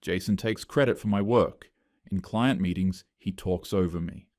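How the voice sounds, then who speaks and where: A man's voice: low voice, moderate pace, darkish tone, dry recording, clean studio sound, natural voice. A man reading the lines of an upset young female office employee, hesitant and aggrieved.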